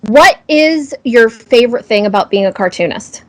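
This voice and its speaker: A woman's voice: on the high side, expressive, normal speed. A woman interviewer asking casual, friendly questions and responding in an encouraging, appreciative way. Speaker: interviewer, host.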